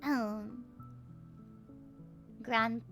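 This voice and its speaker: A woman's voice: on the high side, steady tone, very slow, a bit bright. A woman with a Filipino accent chatting casually and playfully as she streams a game and reacts to her viewers. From Philippines. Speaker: livestreamer. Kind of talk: casual livestream chat over a game, switching to reading the screen. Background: music.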